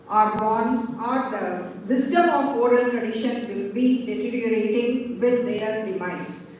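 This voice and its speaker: Woman with a high voice, academic presenter, picked up from afar in a very echoey hall. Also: slight background noise. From Tamil Nadu, India. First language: Tamil. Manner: formal, measured lecturing with pauses. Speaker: conference speaker. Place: large seminar hall. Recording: echoey hall heard from far off.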